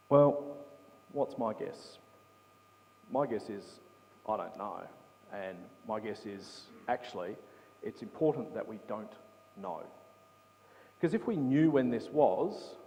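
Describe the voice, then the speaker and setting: A man gives an interactive Bible talk to a congregation in a mid-pitched voice, chatty and informal. He keeps checking in with his listeners. The hall leaves his voice with a long, ringing reverb. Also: dark tone. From England. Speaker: preacher. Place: church hall.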